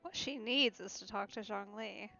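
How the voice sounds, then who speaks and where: A woman's voice: medium pitch, normal speed, vocal fry, sharp tone. A woman streaming a video game, giving casual live commentary. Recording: slightly dull sound over game music.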